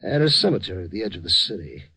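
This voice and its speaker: An actor playing a nervous, hesitant, fussily polite funeral director in a 1950s radio drama. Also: male voice, sits low, very animated, rapid-fire, bright, forceful tone, breathy edge, dry recording, heavily acted. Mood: stiff, proper. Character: nervous, proper funeral director guarding his firm's dignity.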